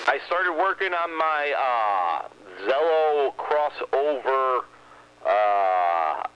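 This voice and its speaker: A man's voice: medium pitch, very slow. A man hosting a CB radio club check-in, chatting casually with a wry, joking manner about radio gear. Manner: wry, joking, technical tinkerer. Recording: lo-fi with steady hiss.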